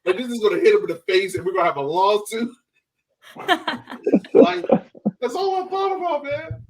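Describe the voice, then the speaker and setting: A man chatting casually and excitedly in slangy speech as a soccer fan podcast co-host. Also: extremely high pitch, moderate pace, rough recording. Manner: animated, slangy.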